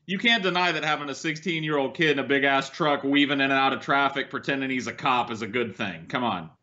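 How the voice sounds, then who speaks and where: A man's voice: medium pitch, fast talker, bright, forceful tone, lo-fi sound. An American man co-hosting a podcast, talking casually with blunt, skeptical swearing. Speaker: podcast co-host. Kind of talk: casual, profane chat.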